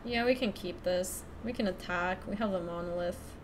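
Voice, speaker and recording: A young woman from Ecuador chatting casually through a card game stream, thinking out loud and laughing often. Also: fairly low pitch, normal speed, a little hiss or hum. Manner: chatty, giggly, thinks aloud to chat. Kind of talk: casual live-stream commentary.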